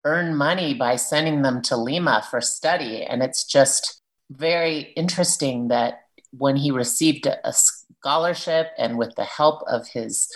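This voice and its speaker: Very low-voiced woman author. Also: unhurried, poor audio. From Peruvian family, living in Arizona. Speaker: children's book author. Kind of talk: interview and book reading.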